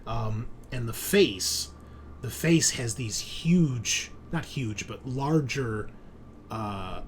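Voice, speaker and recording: Man, game master, unhurried deliberate delivery, mid-pitched, faint background noise. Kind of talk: improvised game narration and casual chat.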